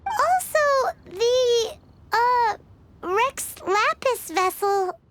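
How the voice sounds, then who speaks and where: A woman's voice: very high-pitched, drawn-out words, very noisy, heavily acted. A woman voicing a small, chirpy, cheeky childlike fairy companion in a video game. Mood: puzzled, wary. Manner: excitable, refers to self in third person. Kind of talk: video game cutscene voice acting.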